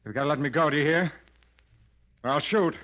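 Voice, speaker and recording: Actor as a cornered, agitated man, higher-voiced. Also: fairly quick, close to an everyday voice. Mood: desperate, threatening. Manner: agitated, pleading, defiant. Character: cornered, desperate male payroll carrier bluffing with pistol. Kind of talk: 1940s radio acting. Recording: muffled vintage radio recording.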